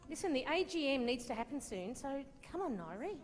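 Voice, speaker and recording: An Australian woman facilitates a group discussion at a conference. Her voice sits a little high as she quickly praises each contribution. The long reverb of a large hall trails behind her words. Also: sing-song. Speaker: workshop facilitator.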